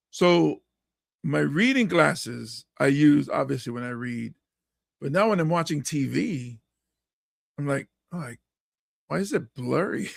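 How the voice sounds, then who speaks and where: A middle-aged man from Hawaii with a hoarse, raspy voice, hosting a podcast chat in a lively way, laughing often and acting out quotes. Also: fairly high pitch, dry recording, studio quality. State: hoarse from coaching. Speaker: podcast host and comedian.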